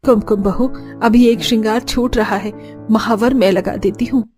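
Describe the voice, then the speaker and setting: Woman as a humble, higher-voiced mother-in-law. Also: steady tone, normal speed, darkish tone, soft background music, natural voice. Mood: tender. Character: poor, humble middle-aged mother-in-law, tender and apologetic.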